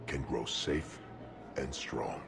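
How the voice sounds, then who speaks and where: A hulking alien warrior poet for a video game, solemn and devoted. The voice is extremely deep and very slowly paced, reciting tender verse over clear background music. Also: male voice, full character voice. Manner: slow, solemn poetic delivery. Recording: music playing behind.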